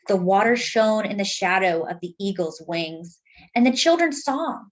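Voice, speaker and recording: A woman reading a picture book aloud to children, expressive and deliberate, dropping in chatty asides. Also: mid-range voice, poor audio. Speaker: children's story reader.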